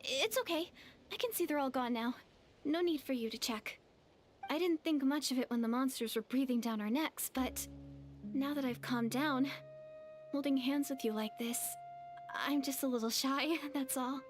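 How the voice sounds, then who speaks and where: Game heroine in distress, played by an adult woman: extremely high girlish voice, earnest, hesitant, flustered delivery. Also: moderate pace, a little hiss or hum, close to an everyday voice. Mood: shy, flustered. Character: young girl in peril, flustered, earnest, shy. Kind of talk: animated fantasy game voice acting.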